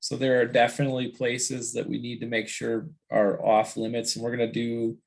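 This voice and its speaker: A man speaking informally at a volunteer meeting in an earnest, halting way. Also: medium pitch, monotone, creaky.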